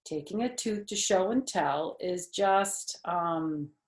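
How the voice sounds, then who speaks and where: A stern, sensible mother, voiced by a woman reading a storybook aloud. She speaks firmly and plainly, with clear disapproval, in an echoey room. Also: lowish voice, lively intonation, takes it slowly, darkish tone. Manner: firm, disapproving.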